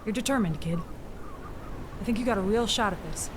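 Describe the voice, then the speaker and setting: A woman's voice: mid-range voice, brisk, close to an everyday voice. Woman as a firm mountain guide, noisy ambience. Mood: advising. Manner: direct, coaching, insistent. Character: firm, caring older mountain guide, a bereaved parent. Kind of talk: family audio drama acting. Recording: clear background noise, outdoor ambience.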